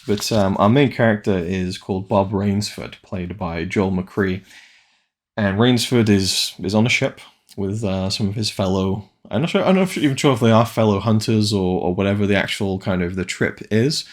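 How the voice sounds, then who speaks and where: A man's voice: on the low side, normal speed. A man from Wales giving a casual, rambling film review, full of hesitant restarts.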